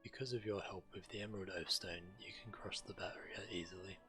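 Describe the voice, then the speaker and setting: A man's voice: sits low, steady tone, fairly quick. A man streaming a video game and reading its quest text aloud. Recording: loud game music behind. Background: video game music.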